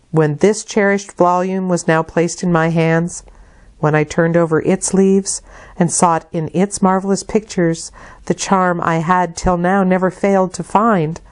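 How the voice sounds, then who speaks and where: A woman with a fairly low voice reads literary fiction aloud. She narrates in a measured, expressive way, shaping each phrase deliberately as an audiobook reader would.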